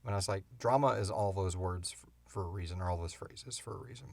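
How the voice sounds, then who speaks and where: A man's voice: low-pitched, fast, vocal fry, darkish tone, no echo. A middle-aged man hosting a podcast, chatting casually in a rambling, informal, self-deprecating way. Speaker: podcast host and audio drama creator. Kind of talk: casual Q&A chat. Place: home podcast studio.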